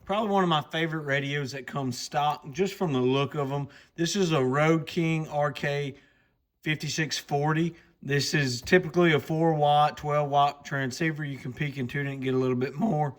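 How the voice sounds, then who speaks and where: Man with an even, level delivery. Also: on the high side, moderate pace. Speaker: radio channel host. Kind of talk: casual product walkthrough.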